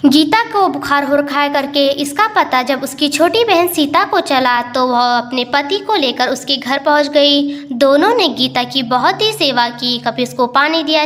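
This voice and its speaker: A woman's voice: high voice, fairly bright tone. A woman narrating a scripted moral tale in storytelling style.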